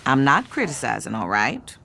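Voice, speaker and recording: An actress playing a protective, bossy middle-aged American aunt who works as a supervisor, in a naturalistic audio drama, coaching and cajoling. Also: female voice, a bass voice, big pitch swings, moderate pace, creaky, bright, forceful tone, heavily acted. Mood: instructing.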